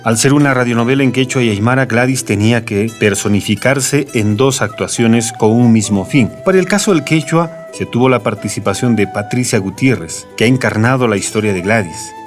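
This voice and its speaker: A man narrates from a script in documentary style. His voice is medium in pitch, with music playing behind it. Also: brisk, few pauses.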